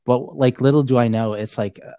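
A man's voice: medium pitch, fairly even intonation, fairly quick, mellow, no echo. A young man from Saudi Arabia, a podcast guest chatting casually, rambling and laughing a lot. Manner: rambling, heavy on filler words, laughs often. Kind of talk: casual chat.

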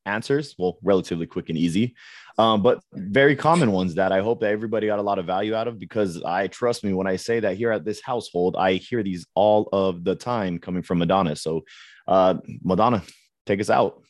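A man co-hosting a parenting podcast, relaxed and joking as he tells stories. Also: low voice, brisk, no room sound, clean studio sound. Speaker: podcast co-host. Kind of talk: casual chat.

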